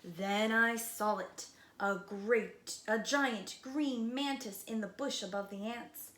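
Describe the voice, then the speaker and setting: A woman preschool teacher reading a picture book aloud expressively, with dramatic slowed emphasis and hushed moments. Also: a little high. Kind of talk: expressive children's read-aloud. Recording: roomy echo, light background noise.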